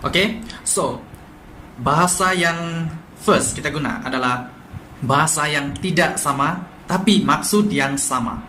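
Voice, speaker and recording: A man from Sabah with a Sabah Malay accent, explaining language differences in a quick, lively vlog style and mixing in English words. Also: fairly high pitch. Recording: echoey room with faint noise.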